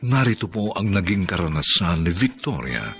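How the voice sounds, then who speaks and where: A man's voice: low voice, big pitch swings, normal speed, fairly bright tone. A man with a Filipino accent giving solemn, dramatic, poetic narration for a radio drama. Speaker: narrator, host. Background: faint music.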